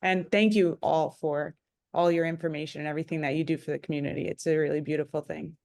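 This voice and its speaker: A woman from New Jersey speaks up on a group call with a sincere, polite manner. Her pitch is a little on the low side, and she talks as a participant putting a question. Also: steady tone, fast talker, vocal fry, darkish tone. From New Jersey, USA. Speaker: gym owner asking a question. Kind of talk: introducing herself and asking on a group call.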